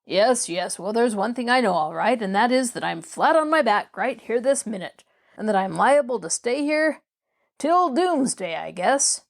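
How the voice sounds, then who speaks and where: A woman's voice: a little high, expressive, moderate pace, gravelly. Woman reading as a curt, grim, sardonic man. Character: cross, bitter bedridden man with grudging humor. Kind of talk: storybook character voice.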